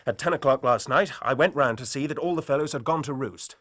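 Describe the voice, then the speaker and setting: An actor playing a worried, loyal young English university rugby captain in an audio drama, with hearty, polite public-school speech. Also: male voice, medium pitch, quick pace, background noise, lightly acted. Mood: earnest.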